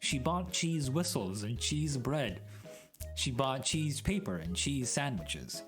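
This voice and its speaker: A man's voice: mid-range voice, sing-song, slow. A man giving a dramatic, mock-serious reading of a comic story, addressing the listener directly. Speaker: storyteller, streamer reading aloud. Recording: music added behind the voice. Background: music and sound effects.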